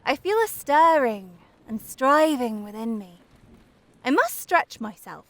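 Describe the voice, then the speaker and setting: A woman's voice: high-pitched, big pitch swings, takes it slowly, heavily acted, low-quality recording. A woman voicing an eager, yearning young female snowdrop flower in a storybook, with longing exclamations.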